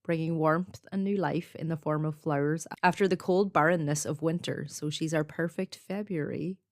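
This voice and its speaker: Low-voiced woman podcaster telling folklore. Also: steady tone, normal speed, dry recording, clean studio sound. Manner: explanatory with informal asides. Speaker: podcast co-host. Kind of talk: conversational storytelling about folklore.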